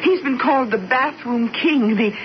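A woman's voice: high voice, normal speed, close to an everyday voice. A fluttery rich wife, stammering and breathless, as an actress plays her. The sound is dull and lo-fi, like an old radio broadcast with a faint hiss. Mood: pleading. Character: anxious, gluttonous wealthy wife, adult woman. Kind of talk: 1970s radio drama acting.

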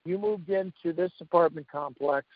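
Halting male talk-show host. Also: on the high side, no room sound. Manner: halting, with many fillers and repeated words. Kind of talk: casual interview talk. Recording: dull lo-fi call audio.

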